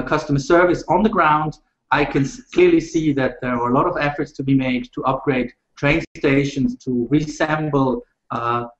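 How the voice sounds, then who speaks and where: A man from Switzerland, a rail industry panelist speaking in a measured, halting way with many fillers. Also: mid-range voice. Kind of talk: panel discussion over video call. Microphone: video call. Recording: roomy, muffled video call audio.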